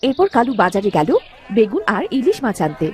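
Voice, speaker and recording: Female narrator, high pitch, lively intonation, scripted fairy-tale storytelling, music underneath. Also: a little fast, some chatter behind.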